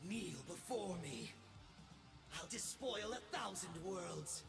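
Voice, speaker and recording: A man playing an arrogant, power-mad young space emperor in a video game, pompous and gloating, swinging between shouts and whispers. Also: sits high, expressive, slow, gravelly, exaggerated voice. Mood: commanding, furious. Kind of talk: theatrical video game voice acting. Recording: loud music behind the voice. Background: music.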